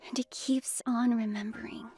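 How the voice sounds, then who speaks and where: A woman voicing the wistful, lonely ghost of a little girl in a visual novel game, hushed and drifting. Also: fairly high pitch, fairly even intonation, moderate pace, creaky, husky, faint music behind, heavily acted. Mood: dreamy, sad.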